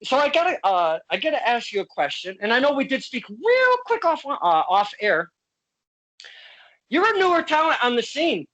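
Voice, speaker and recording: Bright, boisterous male podcast host. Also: very high-pitched, big pitch swings, moderate pace, rough recording. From Connecticut. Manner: boisterous, playful, swears freely. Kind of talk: casual interview.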